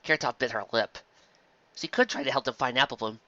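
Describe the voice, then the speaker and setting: A man's voice: medium pitch, fast, bright, forceful tone, no echo, muffled recording. A man reading fiction aloud as a dramatized narration, recorded close in a dry space. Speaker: story reader.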